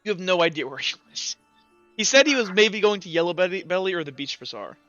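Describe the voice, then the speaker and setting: A man improvising narration as game master of a tabletop roleplaying game, in informal, halting phrasing. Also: high voice, expressive, a bit bright, no echo. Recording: dull lo-fi audio with music behind.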